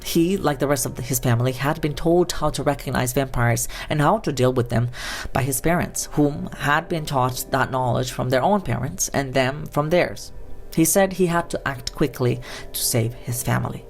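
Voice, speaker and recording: A woman narrates a documentary from a script, her voice sitting low in pitch. Her delivery is measured and even, with faint music playing softly behind her. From Romania. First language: Romanian.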